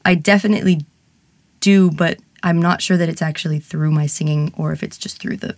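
Low, gravelly-voiced woman. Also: steady tone, fairly quick, a little mellow, dry recording. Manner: frank, laughs easily, many fillers. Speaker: burlesque singer, interviewee. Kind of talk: candid interview conversation.

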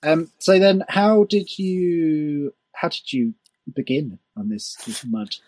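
A man hosting a casual interview, soft-spoken and hesitant, with his sentences trailing off. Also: on the high side, sing-song, slow pace. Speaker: podcast host.

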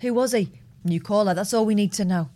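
A woman's voice: mid-range voice, brisk, warm tone. A woman co-hosting a call-in show who chimes in with short, dry remarks. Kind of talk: casual banter.

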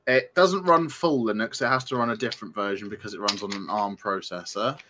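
A man's voice: fairly low pitch, fairly quick, background noise. A young British man co-hosting a podcast, explaining gadgets in a casual, keen way. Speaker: podcast co-host. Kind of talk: casual tech chat.

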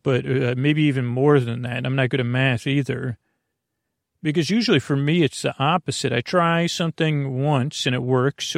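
A man with a creaky, gravelly voice hosting a sleep podcast in a meandering bedtime monologue, halting, absent-minded and self-deprecating. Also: medium pitch, no echo, clean studio sound.

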